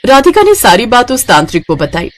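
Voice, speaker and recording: A woman's voice: on the high side, huge pitch swings, fairly quick. A woman storyteller reading scripted narration for a moral tale.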